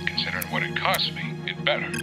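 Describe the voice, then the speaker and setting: Guy doing a dry gruff older mentor, short wry lines, music behind. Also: fast, natural voice. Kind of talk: fan audio drama acting.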